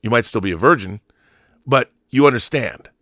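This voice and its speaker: A man's voice: medium pitch, lively intonation, moderate pace, fairly bright tone, no room sound. Emphatic man in his fifties, dry close sound. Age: 50s. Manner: opinionated, emphatic, profane, repeats himself for emphasis. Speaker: livestream host. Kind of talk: casual livestream commentary, reading viewer messages.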